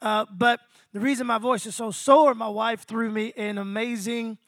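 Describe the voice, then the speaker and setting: Hoarse fifty-year-old pastor. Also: male voice, very high voice, moderate pace, no room sound, studio quality. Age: 50s. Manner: energetic, calls out to congregation. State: hoarse, losing his voice. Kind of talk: sermon. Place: small venue with congregation.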